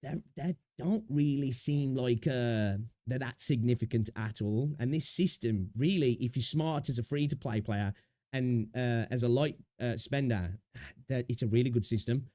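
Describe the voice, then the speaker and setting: A man's voice: mid-range voice, normal speed, mellow, no echo, clean studio sound. A young man chatting casually on a live stream, a little sniffly with a sore throat, rambling and greeting viewers. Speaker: streamer reacting to videos.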